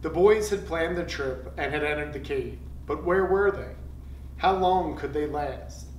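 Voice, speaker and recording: A man's voice: fairly high pitch, measured pace. A man reading a nonfiction story aloud as narrator. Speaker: reader of a book chapter for a school project. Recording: echoey, noisy, distant mic.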